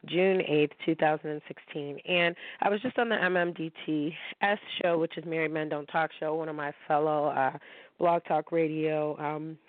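A woman's voice: low-pitched, fairly even intonation, normal speed, no echo. A woman hosting her own internet radio show, talking candidly and emotionally to listeners while sounding drained. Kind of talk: casual monologue. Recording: dry, tinny phone-line sound.

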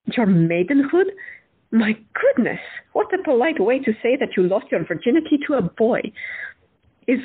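A woman's voice: on the high side, very animated, moderate pace, breathy edge. A woman as a frank, teasing doctor. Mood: teasing, affectionate. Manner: candid, playfully teasing. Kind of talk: audio drama acting. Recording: tinny phone-like sound.

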